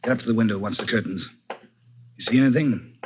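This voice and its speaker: A man playing a brisk police commander. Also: mid-range voice, background noise, natural voice. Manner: quick, giving orders. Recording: dull old radio broadcast with loud music.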